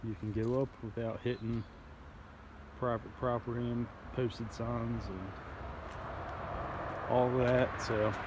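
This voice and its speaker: A man of middle pitch talks in a loose, rambling way, full of fillers. He speaks outdoors beside a creek. Loud road traffic and outdoor ambience run under his voice the whole time. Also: flat delivery, slow, pauses often. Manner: rambling, chatty, with frequent fillers. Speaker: fishing channel host. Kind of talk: casual outdoor walkthrough vlog. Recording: outdoor camera audio with loud road noise. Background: loud outdoor ambience and passing traffic.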